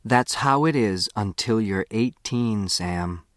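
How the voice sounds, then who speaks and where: A man's voice: fairly low pitch, slow, no echo, studio quality. A man voicing a firm, loving, proud mother in an audiobook story. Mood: gently final.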